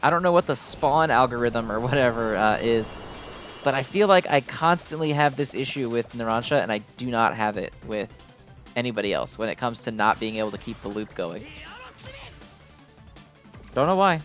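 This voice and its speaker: A man's voice: medium pitch, expressive, moderate pace, a bit bright, soft background music. A man giving casual, rambling gameplay commentary with a fan's enthusiasm and some mild swearing. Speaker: gamer commenting on own gameplay.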